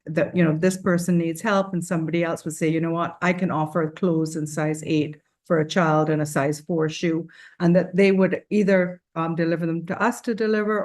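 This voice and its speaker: A woman's voice: low-pitched, darkish tone. Earnest rambling woman on a panel. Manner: earnest, rambling with many fillers and restarts. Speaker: charity founder speaking on a panel. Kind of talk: casual panel discussion.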